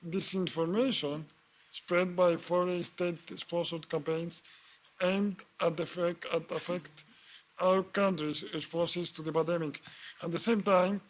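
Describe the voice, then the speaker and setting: High-voiced man making a formal statement. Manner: measured, with frequent fillers and restarts. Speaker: member of parliament asking questions.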